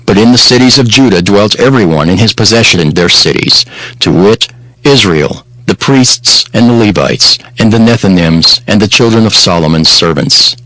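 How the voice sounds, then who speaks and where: A man narrates a dramatized scripture audiobook in a solemn, measured, formal way. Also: fairly low pitch, expressive, bright, forceful tone, dry recording, poor audio. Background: background music.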